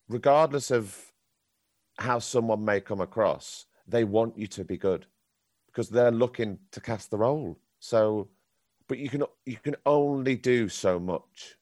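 A man with a very deep voice, a professional actor answering a youth theatre group's questions with candid, encouraging advice. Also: a little mellow, dry recording, studio quality. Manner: candid, encouraging advice with frequent ums and restarts.

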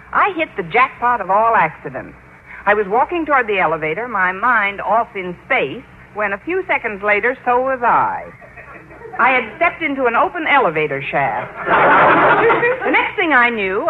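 Through a narrow, lightly hissy vintage radio sound, an actress plays a sardonic woman schoolteacher in a situation comedy. Her delivery is arch and animated, with dry self-deprecation and widely varied intonation. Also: medium pitch, close to an everyday voice. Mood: wry narrating. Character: wry, self-deprecating female high school English teacher. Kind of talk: 1940s-50s radio comedy acting and narration. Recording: tinny, hissy old-time radio broadcast.

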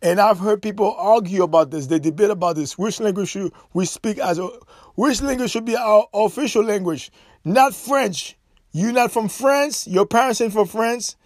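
A man's voice: sits high, moderate pace, dry recording, studio quality. A man from Haiti, delivering an impassioned, repetitive monologue straight to his viewers. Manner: emphatic, repetitive, preachy.

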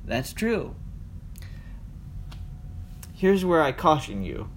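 A man's voice: very animated, extremely slow. A man hosting a casual solo podcast, speaking conversationally and addressing his listeners directly. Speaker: podcast host. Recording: noisy with low background rumble.

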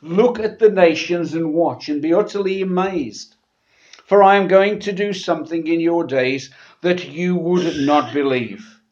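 A male preacher addresses a church congregation in a conversational sermon style. His voice sits a little high for a man and is slightly gravelly and husky with a sore throat. The recording is somewhat dull and muffled. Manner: halting, conversational, often repeating words. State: sore throat after a medical procedure. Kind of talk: church sermon with scripture reading.

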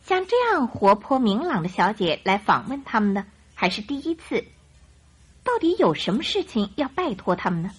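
A woman narrating a radio drama in an expressive storytelling style. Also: mid-range voice, moderate pace, husky. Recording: music behind the voice.

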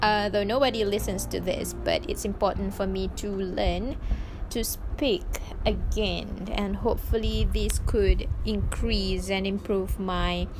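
Slow, thoughtful Malay-speaking woman. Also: mid-range voice, fairly even intonation, noisy background. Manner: slow, searching, many restarts. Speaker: vlogger practicing English. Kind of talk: casual spoken reflection.

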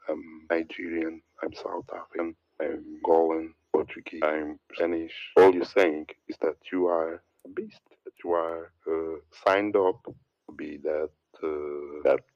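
A man's voice: no echo, muffled recording. A man giving a heated, rambling religious monologue, repeating words and lists as he preaches. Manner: preachy, rambling, repeats words, mocks others in a put-on high voice. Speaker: religious commentator.

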